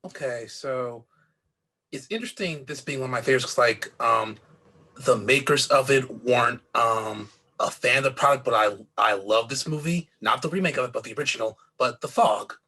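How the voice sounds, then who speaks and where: Male panelist, raspy but bright tone, informal movie-talk delivery. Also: medium pitch, normal speed. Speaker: podcast panelist. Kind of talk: casual film discussion chat.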